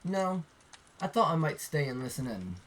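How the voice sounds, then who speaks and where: A man's voice: fairly high pitch, sing-song, moderate pace, a bit bright, slight background noise. A man playing a playful, nosy young man in a fantasy audio drama. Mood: teasing. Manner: teasing.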